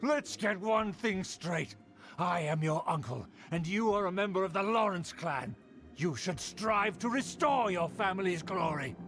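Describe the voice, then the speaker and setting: A pompous old noble uncle. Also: male voice, high-pitched, expressive, unhurried, fairly bright tone, faint music behind, exaggerated voice. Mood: indignant. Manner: pompous, lecturing. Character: pompous older noble uncle, haughty and indignant. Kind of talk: video game voice acting.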